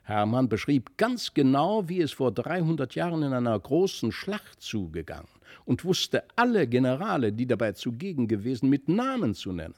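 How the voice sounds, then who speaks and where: Mid-pitched man narrating literary tale. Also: sing-song, normal speed, darkish tone. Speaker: narrator, audiobook reader. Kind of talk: expressive literary storytelling.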